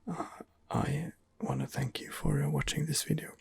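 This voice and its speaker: A man giving ASMR game commentary in a breathy, husky whisper, hesitant and rambling as he muses his way along. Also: fairly high pitch, level tone, normal speed. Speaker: video game streamer, channel host.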